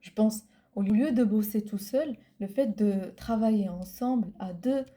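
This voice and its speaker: Co-host, woman, Arabic first language: slow, hesitant delivery, mellow tone. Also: mid-range voice. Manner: hesitant, searching for words, many fillers. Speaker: podcast co-host. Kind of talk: casual chat.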